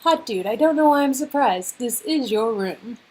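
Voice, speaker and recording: A woman's voice: a little high, animated, moderate pace, darkish tone, breathy edge, low-quality recording. A woman chatting casually and playfully as she reacts to a story game she is playing with a co-host. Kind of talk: casual banter during a game playthrough.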